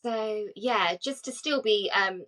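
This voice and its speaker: A woman's voice: mid-range voice, takes it slowly, bright, forceful tone. Chatty woman in her forties. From England. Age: 40s. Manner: chatty, laughs often, many fillers. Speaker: podcast co-host. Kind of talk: casual chat.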